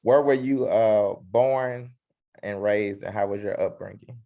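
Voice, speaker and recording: A man hosting an interview, opening with a boastful, hyped self-introduction before asking relaxed questions. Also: lowish voice, measured pace, creaky, dull audio. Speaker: host.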